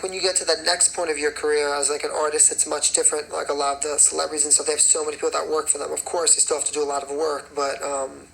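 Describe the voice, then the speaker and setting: A raspy young man, a singer and choreographer, chatting casually on a phone livestream and rambling with many fillers. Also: on the high side, a bit bright, slight background noise, rough recording. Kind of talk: casual livestream chat answering comments.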